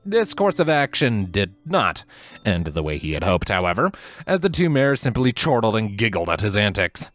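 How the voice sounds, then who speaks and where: Expressive male story narrator. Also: lowish voice, moderate pace, no echo, soft background music. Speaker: fan fiction narrator.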